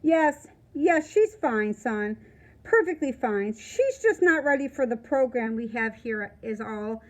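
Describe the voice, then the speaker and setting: Woman reading as a formal male headmaster, high-voiced. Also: lively intonation, measured pace. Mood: formal, regretful. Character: apologetic but firm male school headmaster on telephone.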